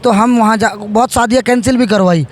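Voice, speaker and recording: High-pitched man in his thirties. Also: fairly quick. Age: 30s. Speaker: politician, interviewee. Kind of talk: interview answers.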